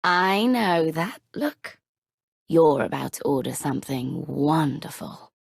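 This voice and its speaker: An actress playing a cool, sly woman officer who serves a tyrant in a video game, husky and knowing. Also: low voice, lively intonation, takes it slowly, no echo, clean studio sound, full character voice. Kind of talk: video game cutscene acting.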